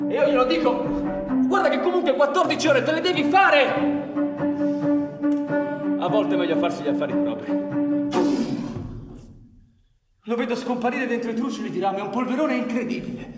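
An earnest factory worker tells his story in a theatrical radio monologue. His male voice sits high and is delivered with dramatic emphasis. It rings in a reverberant hall, with music clearly playing behind. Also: animated, a little fast, long pauses, recorded from a distance. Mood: proud, animated. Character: earnest working-class factory man, husband and father, recalling hardship.

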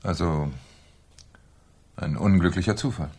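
An actor playing a shrewd, sardonic French police commissioner in a German radio crime drama, with a dry, probing manner. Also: male voice, sits low, unhurried, darkish tone, breathy edge. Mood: skeptical, probing.

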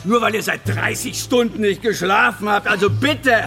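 An aggressive, sneering war reporter in a radio drama. His voice is high and sharp, his manner sarcastic and foul-mouthed, with music behind him. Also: moderate pace, creaky, full character voice. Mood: sneering, bitter. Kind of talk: German radio play acting.

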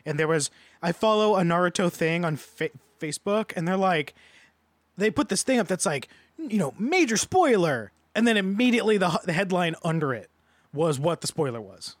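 A man's voice: high voice, big pitch swings, moderate pace, dry recording. A man co-hosting a podcast, telling things bluntly in a casual group chat. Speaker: podcast co-host.

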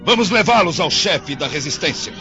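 A man's voice: fairly high pitch, lively intonation, normal speed. A male actor playing a brutal, jeering rebel fighter in a radio drama, commanding and full of scorn. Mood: scornful. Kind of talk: theatrical radio drama acting. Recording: dull lo-fi radio drama, prominent music.

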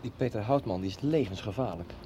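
A man's voice: expressive, fast talker, warm tone, close to an everyday voice. An actor playing a reluctant working man who hesitates and worries about leaving in a radio soap. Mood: hesitant. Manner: hesitant, worried, speaking low. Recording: radio recording from off-mic with faint street noise.

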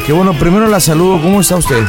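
A man's voice: high voice, rapid-fire, music in the background. A Mexican man hosting a prank call show, improvising comic banter in a mocking, teasing, slangy way.

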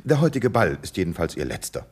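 A flippant young dandy speaks with airy, mocking ease in a radio play. His voice is very low and husky, with lively swings in pitch. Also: fast, exaggerated voice. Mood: coolly sinister. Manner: airy, flippant, coolly sinister. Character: idle, dandyish young man, flippant and sly.

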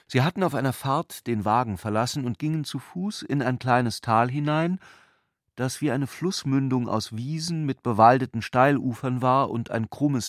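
A man performing as the contemplative narrator of a literary radio drama, with deliberate, reflective phrasing. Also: medium pitch, no echo, clean studio sound, natural voice.

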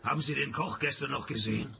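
Deadpan detective with gravelly creak. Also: male voice, medium pitch, fast, a little hiss or hum, heavily acted. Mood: sardonic. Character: dry, sardonic male private detective, world-weary and unimpressed. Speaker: detective and first-person narrator. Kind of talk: radio play dialogue and noir-style narration.

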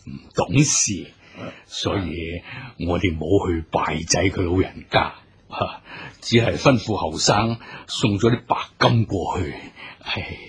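Elderly Hong Kong Cantonese gentleman for radio drama: genial, apologetic host, very husky, lowish register. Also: full character voice. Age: older. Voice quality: husky, raspy. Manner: drawn-out polite murmurs and sighs.

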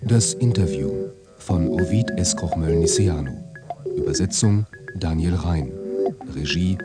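A man's voice: deep voice, expressive, measured pace, over loud music. A man reading scripted radio drama credits as an announcer.

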